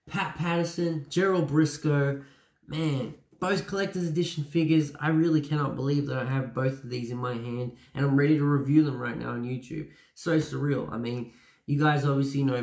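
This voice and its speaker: A young man with a stuffy, nasal voice from a cold, chatting excitedly with many fillers as he reviews toys at home. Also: medium pitch. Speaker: toy reviewer. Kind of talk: casual review vlog. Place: small room at home.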